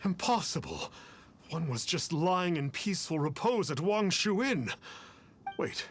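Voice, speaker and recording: High-pitched, pompous fake immortal sage. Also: male voice, very animated, measured pace, slight background noise. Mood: bewildered. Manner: pompous, haughty, calls himself "one". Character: pompous self-important fraud posing as immortal sage. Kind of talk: video game voice acting.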